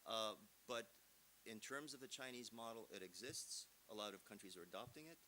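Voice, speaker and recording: Bright, emphatic male lecturer over hiss. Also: fairly low pitch, fairly quick, poor audio. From Romania. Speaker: visiting professor, guest lecturer. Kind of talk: academic seminar answer with examples. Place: seminar room. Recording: clear background hiss.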